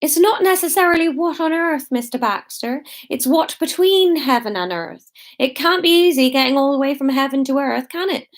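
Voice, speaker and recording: A reader puts on a sneering woman of the village in a storybook. She is snippy and sarcastic, with a high, put-out voice.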